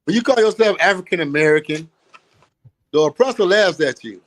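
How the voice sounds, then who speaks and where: A man's voice: high-pitched, animated, fairly quick, a bit bright. A man teaching in an emphatic, preachy way, repeating phrases and laughing often. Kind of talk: street-teaching talk.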